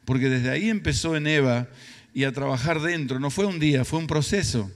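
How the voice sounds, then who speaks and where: Predicador argentino de voz aguda, en sala reverberante. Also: male voice, fairly high pitch, brisk. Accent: Argentine Spanish. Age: middle-aged or older. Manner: emphatic, repeats phrases for effect. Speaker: preacher. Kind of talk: sermon. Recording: reverberant hall sound.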